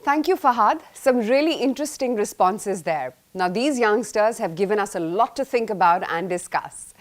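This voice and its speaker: A woman's voice: mid-range voice, lively intonation. A woman with an Indian English accent presenting a scripted business news segment as anchor. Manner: polished, measured anchor delivery.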